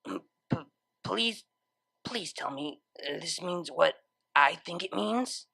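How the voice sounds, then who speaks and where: A man's voice: high-pitched, expressive, slow, vocal fry, sharp tone, slightly rough, full character voice. A man voicing a young female unicorn DJ pony in a story, flustered, self-doubting and lovestruck, with hesitant, stammering delivery. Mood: shy, hopeful.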